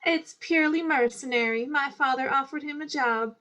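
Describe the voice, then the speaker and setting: A woman's voice: high voice, normal speed, bright, forceful tone. A woman playing a pert, witty young bride in an amateur radio play, over a video call. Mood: playful. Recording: muffled online video call audio.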